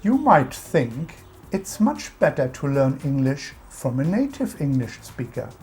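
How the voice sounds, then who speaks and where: A woman with a German accent talks through a scripted lesson on English manners, leaning hard on key words. Her voice sits low and mellow yet moves widely in pitch. Faint music plays under her. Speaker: co-host teaching about English culture. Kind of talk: scripted explainer narration.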